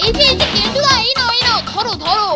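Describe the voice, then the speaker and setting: A playful cartoon boy, teasing, super high and bouncy, music underneath. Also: fast. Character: lively young boy, joking sidekick. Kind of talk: animated cartoon dubbing. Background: cartoon background music.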